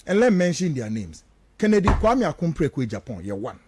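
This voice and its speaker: A man's voice: a little high, big pitch swings, fairly quick, darkish tone, slight background noise. A Ghanaian man, a native Twi speaker, giving emphatic, accusatory political radio commentary in a declamatory way. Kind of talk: political talk radio commentary, mixing local language and English.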